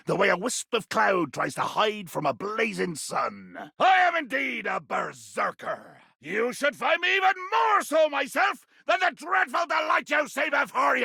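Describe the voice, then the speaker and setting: An actor playing a grandiose, bloodthirsty pirate berserker in a video game, with a gravelly voice and florid, bombastic old seafaring speech. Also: male voice, sits high, no room sound, clean studio sound, exaggerated voice. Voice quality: gravelly, raspy. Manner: bombastic, florid archaic pirate diction, erupting into shouts. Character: ranting, grandiose, bloodthirsty male pirate berserker, comically menacing. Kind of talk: theatrical video game character acting.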